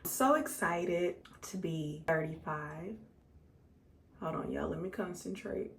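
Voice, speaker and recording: Lower-pitched American woman chatting casually. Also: unhurried, vocal fry. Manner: chatty, conversational, emphatic repetition. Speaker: makeup vlogger. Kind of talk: casual chat to viewers while doing makeup.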